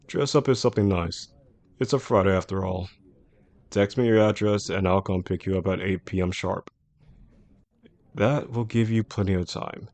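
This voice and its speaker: Casting: male actor as a composed male chief executive for intimate roleplay audio, low-pitched, measured and formal, protective with a possessive undercurrent. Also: darkish tone, dry recording. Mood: caring, insistent. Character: composed, caring male CEO boss, secretly obsessive. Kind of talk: intimate scripted roleplay audio addressed to the listener.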